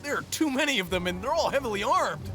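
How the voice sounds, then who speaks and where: A man's voice: very high-pitched, animated, quick pace, pushed and bright, faint music behind, full character voice. An actor voicing a frightened male merchant in a video game, speaking in an anxious, shaken way.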